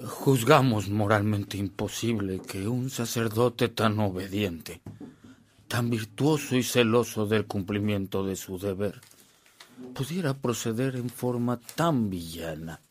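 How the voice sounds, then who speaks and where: A respectful, firm lawyer in a radio drama. His voice is lowish and his delivery oratorical, with faint noise behind. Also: takes it slowly. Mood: formal pleading. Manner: formal, oratorical. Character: formal, deferential yet firm male lawyer pleading a case.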